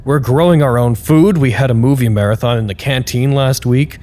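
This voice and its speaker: A man's voice: mid-range voice, a little fast, dark tone, lightly acted. A man playing an earnest, candid site staffer in an audio drama, speaking a reflective and wryly formal log to unseen superiors. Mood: candid, hopeful.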